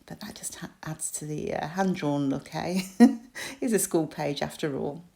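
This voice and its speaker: A British woman in her forties talks through her crafting in a low, slightly croaky voice. She is chatty and self-deprecating, dotting her talk with ums and little laughs. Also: normal speed, dark tone. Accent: British English. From United Kingdom. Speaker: crafter and shop designer. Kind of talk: crafting tutorial voice-over.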